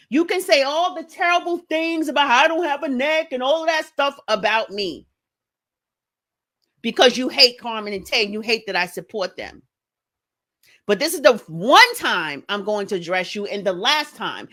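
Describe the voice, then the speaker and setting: A middle-aged woman speaking deliberately and pointedly in direct address, repeating phrases for emphasis. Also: on the high side, sharp tone. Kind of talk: direct address response video.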